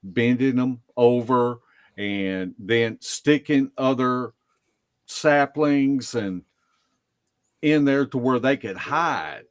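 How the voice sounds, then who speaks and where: A man telling stories casually as a podcast guest, in a halting, deliberate way. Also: mid-range voice, smooth, no room sound, studio quality. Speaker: podcast guest, Bigfoot investigator. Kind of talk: casual storytelling.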